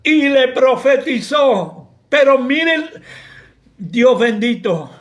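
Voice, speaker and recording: Argentine pastor, man, very high voice, slow and fiery, rebukes and shouts through the sermon. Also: expressive. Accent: Argentine Spanish. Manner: impassioned, rebuking, bursts into shouting. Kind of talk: preaching a sermon.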